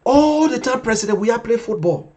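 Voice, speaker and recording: A man in his fifties with a Liberian English accent, giving an impassioned political talk show monologue, emphatic and repetitive, swinging between loud bursts and quiet asides. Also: extremely high pitch, lively intonation, a little fast. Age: 50s.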